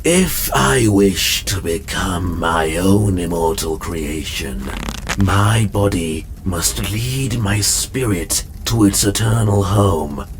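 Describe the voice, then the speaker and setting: An actor playing a scarred, half-machine mad scientist killer in a comic dub, speaking with smug, theatrical menace. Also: male voice, sits low, animated, slow, a little hiss or hum, exaggerated voice. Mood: cold, menacing. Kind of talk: scripted comic dub villain monologue.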